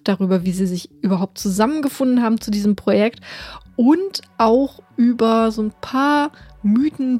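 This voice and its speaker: Mellow-voiced woman hosting a radio show. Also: medium pitch. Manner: chatty, self-deprecating, with fillers and restarts. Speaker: radio and podcast host. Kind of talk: casual radio intro and interview. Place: radio studio.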